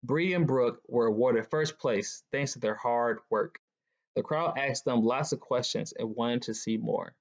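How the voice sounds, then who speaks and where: A man's voice: mid-range voice, a little slow. Man reading a children's story, dull recording. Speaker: guest storybook reader. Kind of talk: children's picture book read-aloud. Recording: dull, lo-fi sound.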